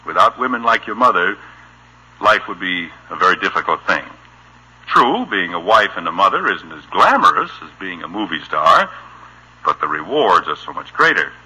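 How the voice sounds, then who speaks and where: A man's voice: low-pitched, big pitch swings, normal speed, exaggerated voice, poor audio. An actor playing an exasperated but tender middle-aged American father in a 1950s radio sitcom. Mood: gently earnest. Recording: bright, rough old radio recording.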